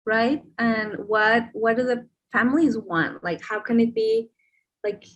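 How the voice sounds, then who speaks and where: A woman's voice: on the high side, a little slow, a bit bright. A woman facilitating a video call discussion, talking in hesitant, searching phrases with many fillers. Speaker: session facilitator.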